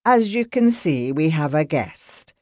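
A woman's voice: low voice, measured pace, dry recording, studio quality. A woman voicing a composed, polite housekeeper receiving a guest. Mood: calm. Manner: calm and polite. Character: calm, sensible woman housekeeper.

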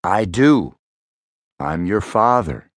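A man narrating a story, voicing a self-important, smooth-talking male lawyer who is a father. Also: on the low side, big pitch swings, slow, no room sound, clean studio sound, pitched below the performer's own voice. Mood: boastful.

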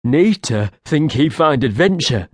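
A storyteller voicing a simple-minded, excitable little male creature who speaks in broken, childlike phrases. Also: medium pitch, a little slow, husky, dry recording, studio quality. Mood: eager. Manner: broken childlike speech, talks of himself by name. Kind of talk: audiobook character voice.

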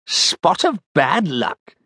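A man's voice: fairly high pitch, wildly swooping pitch, moderate pace, a bit bright, no room sound, studio quality, pitched above the performer's own voice, heavily acted. A man voicing a pompous, conniving con man who talks like a plummy army officer. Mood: blustering.

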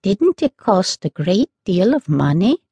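A woman's voice: medium pitch, big pitch swings, measured pace, warm tone, breathy edge, no echo, muffled recording, full character voice. A woman voicing curious, polite young children in a storybook, with an eager, wondering manner.

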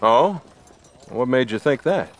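An actor playing a bulky, cautious small-town marshal in a western audio drama, with a folksy, hesitant, official manner. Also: male voice, medium pitch, lively intonation, slow, slight background noise. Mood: wary, official.

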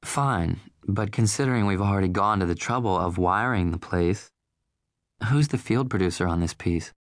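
A man's voice: low-pitched, normal speed, dry recording, studio quality. A man voicing a haughty Southern TV anchorwoman. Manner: haughty, insistent. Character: imperious middle-aged female TV anchor.

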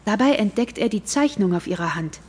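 A woman narrating a radio play in a calm storytelling manner. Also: mid-range voice, a little fast, slight background noise.

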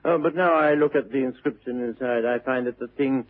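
An actor playing a witty, idle young gentleman with an upper-class English accent, arch and mock-serious in a vintage theatrical comedy style. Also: medium pitch, fairly quick, lightly acted. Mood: mock puzzled. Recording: dull, muffled old radio recording.

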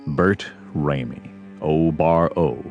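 A man's voice: extremely low pitch, takes it slowly. A man narrating a Western story as a scripted audio drama. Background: music.

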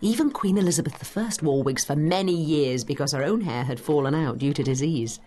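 An actress playing a knowledgeable, brisk woman guiding a listener through history in a dramatised educational audio guide, with an explanatory, teacherly manner. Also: deep voice, dark tone, natural voice. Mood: informative, brisk.

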